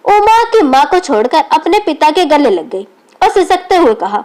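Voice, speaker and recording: Casting: female storyteller, extremely high voice, lively intonation, scripted moral-tale narration. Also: moderate pace.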